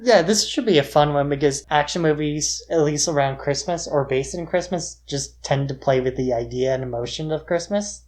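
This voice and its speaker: A man talks as a podcast co-host in a relaxed film discussion format. He explains his opinions informally, in loose conversational sentences dotted with filler words. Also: a little high, moderate pace, hardly any pauses.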